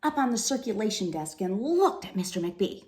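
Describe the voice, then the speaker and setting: A woman reading a children's storybook aloud in an expressive narrating style. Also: mid-range voice, moderate pace. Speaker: storybook reader. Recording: roomy echo, faint background noise.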